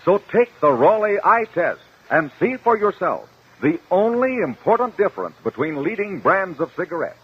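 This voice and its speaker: Old time radio announcer guy selling stuff, husky, super lively, muffled recording. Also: moderate pace. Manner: emphatic sales pitch. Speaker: commercial announcer. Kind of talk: 1950 radio advertisement.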